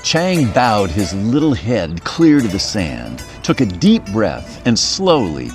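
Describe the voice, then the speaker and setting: A man reading a children's folktale aloud in a very expressive, playful storyteller manner. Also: lowish voice, takes it slowly, faint music behind.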